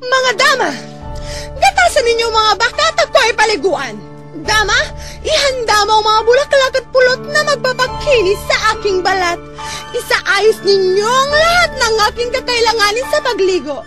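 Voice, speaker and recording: A woman's voice: very high-pitched, expressive, moderate pace. A woman playing a vain, imperious, jealous sultana who haughtily orders servants about in a melodramatic drama style. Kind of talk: melodramatic radio-drama acting. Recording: music behind.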